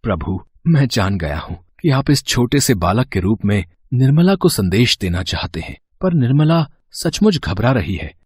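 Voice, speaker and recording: A man voicing a pious, gentle husband who reasons earnestly, in a storybook tale. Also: mid-range voice, very animated, moderate pace, few pauses, breathy edge, no echo, clean studio sound. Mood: pleading. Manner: earnest, persuasive.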